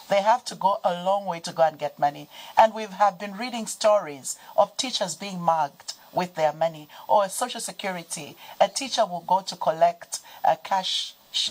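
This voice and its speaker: A Zambian woman talking as a guest on a TV business show, explaining points one by one in a measured way. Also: on the low side, a little mellow, low-quality recording. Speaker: guest from a financial development organization. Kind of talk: TV business talk show interview.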